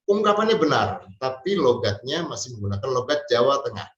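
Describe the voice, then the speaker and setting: A man's voice: medium pitch, sing-song. Man teaching in a roomy echo. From Central Java, Indonesia. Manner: slow, hesitant, with many fillers and drawn-out pauses. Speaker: Arabic teacher. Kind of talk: spoken language lesson. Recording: distant mic, roomy and dull sound.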